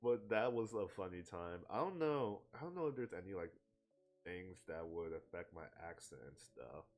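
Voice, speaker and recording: Brief: relaxed male co-host with a lowish, lively voice, faint music behind. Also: moderate pace. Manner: laid-back, chatty, laughs often. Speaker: streamer co-host. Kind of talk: casual chat.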